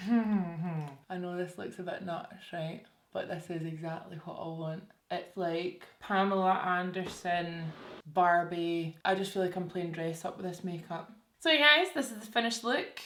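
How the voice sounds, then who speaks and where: A woman's voice: fairly low pitch, measured pace, fairly bright tone. A young woman chatting candidly to camera while doing her makeup, self-deprecating and open. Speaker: beauty vlogger. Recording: echoey room with faint music.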